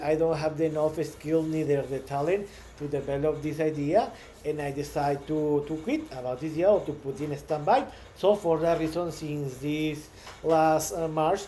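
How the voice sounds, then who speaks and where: Mexican guy speaking english, native spanish, stumbles and repeats a lot, slightly high mellow voice, faint hiss behind. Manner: halting, many repeated words and restarts. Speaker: startup founder. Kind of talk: casual monologue.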